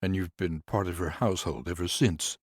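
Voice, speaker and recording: A man playing a calm, watchful investigator who speaks low and measured in an audiobook. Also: husky, no room sound, clean studio sound. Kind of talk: audiobook dialogue.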